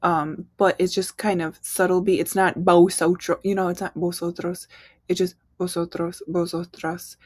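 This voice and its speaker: A woman's voice: fairly low pitch, little pitch movement, moderate pace, husky, no room sound. A young woman, an English speaker, teaching a casual online Spanish lesson in a chatty way, with fillers and quiz-style prompts.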